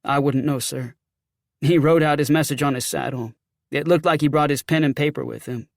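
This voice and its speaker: A man's voice: fairly high pitch, fairly even intonation, moderate pace, vocal fry, no echo, clean studio sound. A man voicing a scared young Confederate sergeant in an audiobook, with folksy, ungrammatical, blurting speech. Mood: nervous, deferential. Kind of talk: audiobook character acting.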